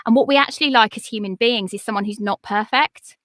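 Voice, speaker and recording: Brief: English woman in her forties, upbeat and encouraging guest, tense bright voice, dry close sound. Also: brisk, no echo. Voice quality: high-pitched. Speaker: podcast coach guest. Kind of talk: casual interview chat.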